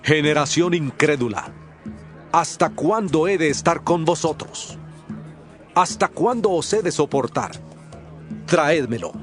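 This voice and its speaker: A man performs an authoritative holy teacher in a scripture audio drama. His male voice sits fairly high and declaims solemnly and emphatically. Soft music plays underneath. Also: lively intonation, a little slow, pauses often. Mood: stern rebuke.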